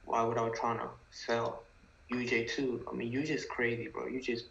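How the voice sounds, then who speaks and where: A man on a livestream call, talking excitedly about currency moves in casual market talk. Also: mid-range voice, normal speed, gravelly, echoey room, lo-fi sound.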